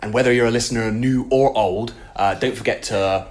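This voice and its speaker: A man's voice: mid-range voice, fairly quick, slight background noise. A man co-hosting a podcast in a casual, chatty style with wry, jokey asides. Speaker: podcast co-host.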